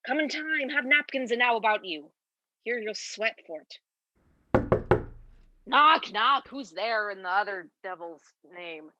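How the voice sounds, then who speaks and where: A woman's voice: fairly high pitch, lively intonation, measured pace, long pauses, dull audio, very noisy. A young woman playing a drunken, bawdy, grumbling castle porter in a comic amateur Shakespeare monologue with exaggerated, tipsy delivery. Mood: grumbling, mocking. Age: young adult.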